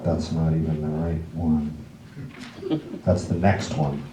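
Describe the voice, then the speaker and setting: A man's voice: extremely low pitch, little pitch movement, takes it slowly, dark tone, a little hiss or hum. A man hosting a live staged reading, introducing it casually to an audience in a wry, theatrical way. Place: indoor library event room. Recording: echoey room recording.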